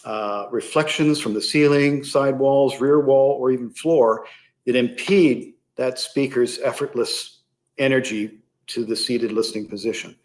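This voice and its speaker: A man speaking as an interview guest, explaining a product in a measured way with pauses mid-sentence. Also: medium pitch, darkish tone. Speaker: interview guest, loudspeaker company sales executive.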